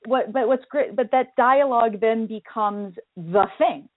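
A woman's voice: a little high, a little slow, phone-quality sound. A woman hosting a call-in radio show, praising her guest in an enthusiastic, rambling way. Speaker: radio host.

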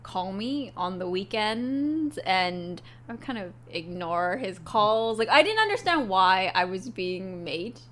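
A woman's voice: mid-range voice, lively intonation, slow, hardly any pauses, fairly bright tone. Young woman, hesitant casual talk. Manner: hesitant, with small restarts and likes. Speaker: co-host, English teacher. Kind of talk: casual chat.

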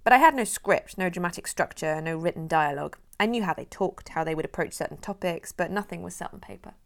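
A woman film director who grew up in Europe, calmly explaining how she made her film in an interview. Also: lowish voice, quick pace, gravelly. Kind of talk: interview answers.

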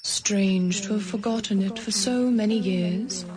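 An actress playing a solemn female ghost of the past in a radio play, speaking in a measured, grave way. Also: mid-range voice, a crowd in the background, natural voice. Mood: probing.

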